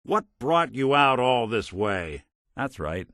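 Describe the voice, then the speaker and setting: A man's voice: mid-range voice, sing-song, slow, a bit bright, no room sound. A man voicing a wry, world-weary old synthetic detective in a video game, with a hard-boiled American drawl and dry sarcasm. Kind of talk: video game character acting, noir detective.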